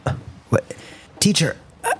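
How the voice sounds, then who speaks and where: A man playing an earnest, awestruck fisherman and elder brother with halting speech in a Bible audio drama. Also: a bit bright, lightly acted. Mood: flustered. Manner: halting, stammering.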